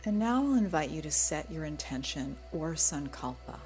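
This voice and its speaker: A woman guiding a relaxation meditation in a gentle, soothing, unhurried manner, with soft breathy moments. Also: deep voice, expressive, soft background music. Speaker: yoga nidra guide.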